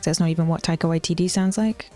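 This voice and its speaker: A woman's voice: fairly low pitch, steady tone, fast, a little mellow, faint music behind. A young woman with an Auckland New Zealand accent chatting casually with her stream chat, rambling, swearing and sometimes dropping into whispers.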